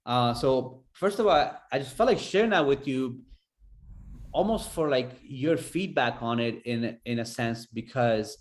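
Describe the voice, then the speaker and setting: A man from Afghanistan hosting a podcast, telling a personal story in an earnest, halting way. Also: medium pitch. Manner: earnest, halting, many fillers. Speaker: podcast host.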